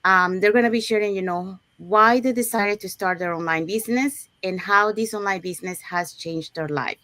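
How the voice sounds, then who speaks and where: An upbeat woman from Panama hosts a business livestream over a video call, chatting along with plenty of fillers and restarts. Her voice is mid-pitched and sits dry and close, with no room around it. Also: normal speed, pushed and bright, dry recording. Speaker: host of an online business livestream. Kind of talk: presenting a live webinar promo.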